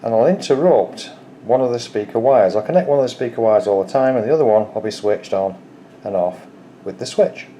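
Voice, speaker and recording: A man's voice: medium pitch, brisk, mellow, a little hiss or hum. A man hosting a casual DIY electronics tutorial, explaining each step in a plain-spoken, informal way. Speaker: DIY electronics video host.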